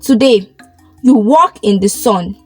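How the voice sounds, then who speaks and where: Woman as an assured, regal queen, slow-paced. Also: medium pitch, animated, slightly rough, soft background music. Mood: uplifting. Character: kindly, elegant, commanding queen.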